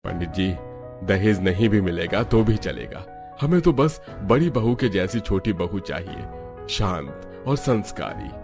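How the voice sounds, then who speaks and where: A man voicing a calm, principled older father-in-law in a cartoon, measured and firm. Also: low voice, over music.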